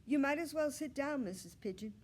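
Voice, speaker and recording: A woman's voice: high voice, expressive, fairly quick, a little hiss or hum, pitched above the performer's own voice. Woman voicing a confident, showy little schoolgirl. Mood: self-assured, showy. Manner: self-assured, declarative, showy. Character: confident theatrical little schoolgirl who loves attention.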